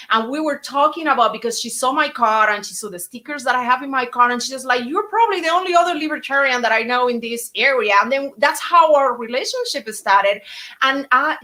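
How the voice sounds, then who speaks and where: A woman from Cuba with a Cuban Spanish accent, chatting casually on a podcast as a guest and telling stories with many fillers and restarts. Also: on the high side. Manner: animated, storytelling, frequent fillers and restarts. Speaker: guest speaker.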